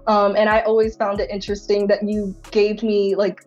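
A woman's voice: mid-range voice, completely flat delivery, normal speed, darkish tone, highs cut off, soft background music. Giggly young woman podcast host. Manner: chatty, giggly, says like a lot. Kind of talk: casual podcast chat.

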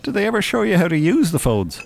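A man's voice: high voice, huge pitch swings, fast, gravelly, exaggerated voice. An actor playing a wry, worldly, cynical older man with an Irish accent in a naturalistic radio drama. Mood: teasing, amused. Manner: dry, teasing, opinionated.

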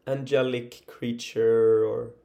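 A man leading an improvised guided body relaxation as a meditation teacher, speaking gently and haltingly with long pauses. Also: medium pitch, flat delivery, vocal fry, muffled recording.

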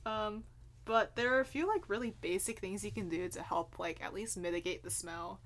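A woman's voice: mid-range voice, normal speed, a bit bright. A young woman with Cantonese as her first language, co-hosting a podcast in a sleepy, laid-back way and laughing often. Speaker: podcast co-host. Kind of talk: casual chat.

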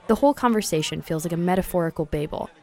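A woman narrating a news feature in a measured, scripted delivery. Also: fairly low pitch, darkish tone.

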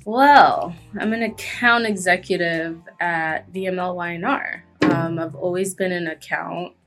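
Lower-voiced young woman chatting casually. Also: steady tone, a little slow, fairly bright tone, faint music behind. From Kenya. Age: 20s. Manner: chatty, frequent "like" and "um" fillers. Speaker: guest. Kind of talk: casual interview chat.

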